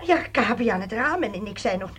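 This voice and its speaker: A woman's voice: mid-range voice, expressive, fast talker, a bit bright, slightly rough. An actress playing a distraught mother who survived a train crash, in a radio play. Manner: distraught, breathless. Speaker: accident survivor.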